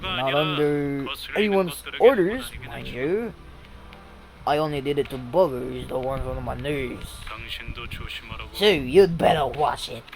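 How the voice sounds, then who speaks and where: Gamer reading a gruff, hot-tempered brawler. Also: medium pitch, animated, slow, music in the background. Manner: blunt, stumbling read-aloud. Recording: noisy background hiss.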